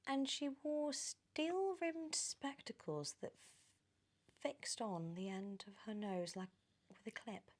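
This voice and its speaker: A woman with a British accent reading a children's story in ASMR style, animated and often slipping into whispers. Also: fairly high pitch, takes it slowly.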